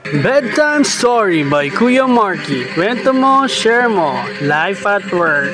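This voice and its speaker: A man's voice: high voice, very animated, slow, rough recording. A man announcing the tagline of a bedtime story show. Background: clear music.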